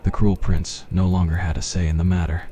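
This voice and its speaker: A man's voice: sits low, level tone, brisk, warm tone. A man reading a scripted fantasy roleplay as ASMR story narration.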